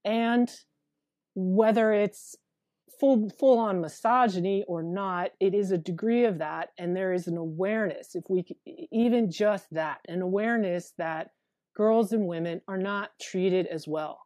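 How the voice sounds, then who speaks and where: A woman therapist with a deep voice, talking frankly as an interview guest, often restarting her sentences. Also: unhurried, a little mellow, dry recording, clean studio sound. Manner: frank, plain-spoken, with many restarts and fillers. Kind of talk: candid interview talk.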